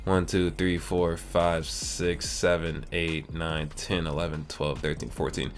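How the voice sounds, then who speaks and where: A man's voice: deep voice, fairly bright tone. A man in his twenties casually answering viewer questions about a mobile game, rambling and slangy. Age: 20s. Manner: laid-back, rambling, slangy. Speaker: gaming YouTuber. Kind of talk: casual Q&A chat to camera.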